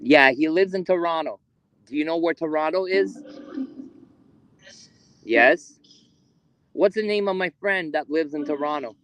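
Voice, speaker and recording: Guy on a night ghost hunt in a graveyard, kinda high voice, dry close sound, lots of uh and um. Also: fairly bright tone, dry recording, rough recording. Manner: halting, many fillers, calls out to spirits. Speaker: ghost hunter streaming live. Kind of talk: live paranormal investigation stream. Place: cemetery outdoors at night.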